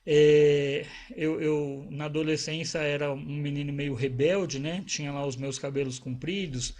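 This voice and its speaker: A man talks to camera in a relaxed, wandering way. His voice sits a little high for a man. He often restarts his phrases and tags them with little questions as he goes. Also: unhurried. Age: 40s. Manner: conversational, rambling with restarts and tag questions. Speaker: psychologist. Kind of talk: casual personal talk to camera.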